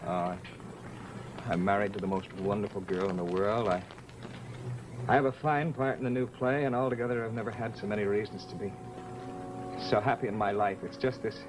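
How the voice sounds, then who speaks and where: A man's voice: lowish voice, fairly even intonation, a little fast, pauses often, natural voice. An actor playing a male stage actor in an old-time radio drama, with polished dramatic delivery. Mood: weak, despairing. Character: suave, condescending male stage actor, later ailing. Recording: noisy old radio recording.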